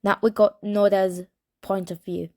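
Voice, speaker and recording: A young woman whose first language is Spanish, reading a novel aloud in English with a Spanish accent and stopping for casual asides, unhurried and correcting herself as she goes. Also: mid-range voice, little pitch movement. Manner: unhurried, hesitant, self-correcting. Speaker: amateur reader of a novel.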